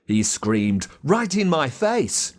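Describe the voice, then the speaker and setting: An Australian man narrating a children's story in a dramatic, expressive way. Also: a little high, slow pace. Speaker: audiobook narrator.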